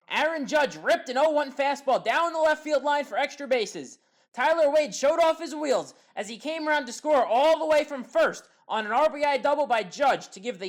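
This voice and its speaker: Woman reading baseball highlights voice-over. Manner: steady recap delivery, lifts on big plays. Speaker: highlights commentator. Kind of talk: scripted play-by-play voice-over.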